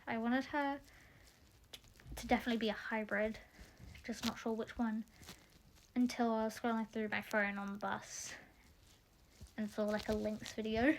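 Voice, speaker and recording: A young woman introducing the cast of her audio drama in casual chat, rambling and a little croaky from being tired. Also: on the high side, little pitch movement, moderate pace, long pauses, a little hiss or hum, rough recording. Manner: chatty, rambling, self-interrupting.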